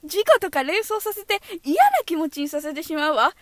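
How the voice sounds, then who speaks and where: A woman playing a brisk, strict but encouraging schoolteacher of samurai pupils, instructing emphatically. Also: sits high, expressive, a bit bright, dry recording.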